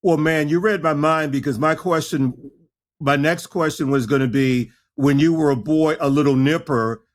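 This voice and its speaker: A male podcast host with a voice pitched a little high for a man. He keeps the conversation light and teasing as he steers his guest toward personal questions. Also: steady tone, normal speed. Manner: playful, teasing interviewer. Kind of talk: casual interview chat.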